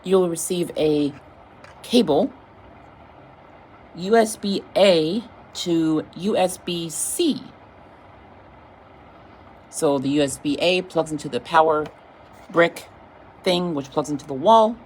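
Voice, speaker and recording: Low-pitched female voice, informal product reviewer, halting casual delivery, noisy recording. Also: a little mellow. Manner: informal, halting, vague wording. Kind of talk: casual unboxing demonstration.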